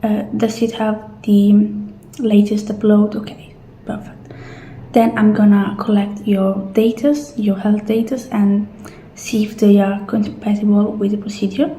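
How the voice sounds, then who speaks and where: A woman playing a calm, polite futuristic clinic receptionist in a soft-spoken, gentle, unhurried ASMR roleplay. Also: medium pitch, reverberant, slight background noise, natural voice, poor audio. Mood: politely attentive. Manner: gentle, unhurried, reassuring customer-service talk.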